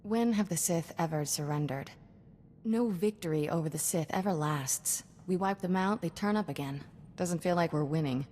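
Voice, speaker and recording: An actress playing a sassy, cocky young Jedi sidekick in a video game, with wry, clipped banter. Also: female voice, fairly low pitch, normal speed, mellow, big-room sound, a little hiss or hum, close to an everyday voice. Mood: sardonic.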